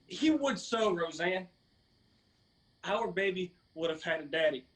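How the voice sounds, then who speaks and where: Earnest young appalachian lover boy in a radio play, high voice, loyal type. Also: expressive, measured pace, fairly bright tone, low-quality recording. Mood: grieving, earnest.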